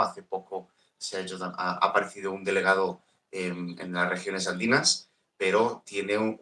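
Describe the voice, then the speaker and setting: A man giving a halting, measured speech as a delegate at a panel. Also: fairly low pitch, pushed and bright. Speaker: Catalan cultural delegate. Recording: echoey room.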